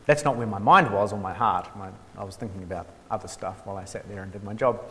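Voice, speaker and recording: A man's voice: lowish voice, quick pace, fairly bright tone. Man from New lecturing in a reverberant hall. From New Zealand. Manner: hesitant, many ums and restarts, dry self-mocking humour. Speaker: workshop speaker on theology. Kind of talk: informal lecture.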